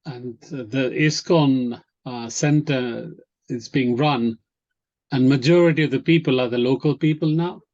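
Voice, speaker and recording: A man from Malawi, a member of a study group, chatting in a relaxed way with short agreeing replies. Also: medium pitch, unhurried. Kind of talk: casual discussion. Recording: dull lo-fi recording.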